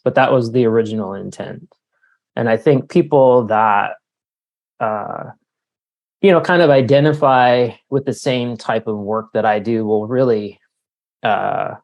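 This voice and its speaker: A man in his forties giving casual, halting, self-deprecating answers as an author being interviewed. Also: fairly low pitch. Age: 40s. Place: remote call.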